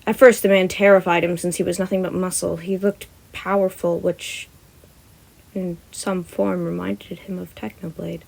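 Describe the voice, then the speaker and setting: A woman's voice: fairly low pitch, level tone, moderate pace, gravelly, darkish tone, low-quality recording. A young woman who chats casually and reads a story aloud in an informal amateur way, sometimes tripping over words and correcting herself. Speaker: podfic reader and channel host. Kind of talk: casual chatty intro and amateur story narration.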